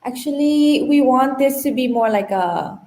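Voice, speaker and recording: A woman's voice: high voice, lively intonation, unhurried, darkish tone. A young woman from Vietnam, giving an informal, conversational talk full of personal storytelling and casual "like"s. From rural Vietnam. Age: young adult. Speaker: conference speaker, women-in-tech community organizer. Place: indoor room on an online conference call. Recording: roomy, echoey online call.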